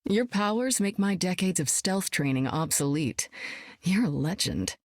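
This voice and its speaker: A seasoned woman superspy with a cool, wry, self-assured delivery. Her voice sits a little low and is recorded close and dry, with no room around it. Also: animated, measured pace, no echo. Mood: warm, amused. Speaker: game hero. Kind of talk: video game voice lines.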